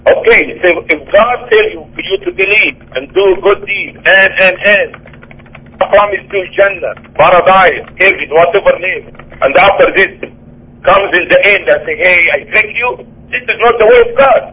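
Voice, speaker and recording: A man's voice: high-pitched, normal speed, sharp tone, dull audio. A man whose first language is Arabic earnestly explains a point of faith, searching for words and checking in with the listener.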